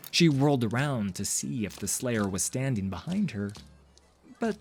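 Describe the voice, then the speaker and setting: A man's voice: mid-range voice, sing-song, normal speed, darkish tone, faint music behind. A man narrating a fantasy story in a dramatic, scripted audiobook style.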